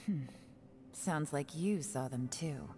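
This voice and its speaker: A woman's voice: low-pitched, slow, music in the background. An actress playing a cool, shrewd woman investigator in a video game, composed and knowing. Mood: cool, observant.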